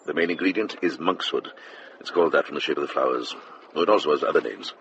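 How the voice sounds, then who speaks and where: An actor playing a calm, shrewd, firm middle-aged monk herbalist in a radio period drama. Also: male voice, a bass voice, fast, muffled recording, noisy background. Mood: certain, measured.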